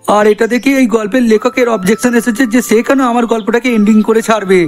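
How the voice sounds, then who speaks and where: A man's voice: high voice, fairly even intonation, fast, natural voice. A man voicing an emphatic, talkative uncle in a comedy cartoon. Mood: earnest. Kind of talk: cartoon character addressing viewers. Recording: voice over background music.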